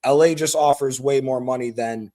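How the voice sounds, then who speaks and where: A man's voice: mid-range voice, steady tone, moderate pace, mellow. An American man calling in to a football chat show, making his point bluntly and swearing freely. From United States. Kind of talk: heated call-in football chat.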